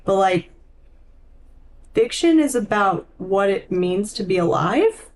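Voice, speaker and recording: A woman's voice: medium pitch, expressive, slow, slight background noise. A woman co-hosting a podcast, discussing books informally and thinking aloud as she goes. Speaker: podcast co-host. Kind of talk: casual book discussion.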